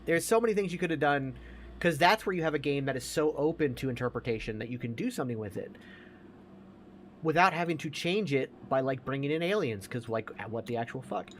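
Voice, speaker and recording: A man's voice: fairly high pitch, expressive, fairly quick, a little hiss or hum. A man chatting casually about movies as a podcast co-host, emphatic, opinionated and given to swearing.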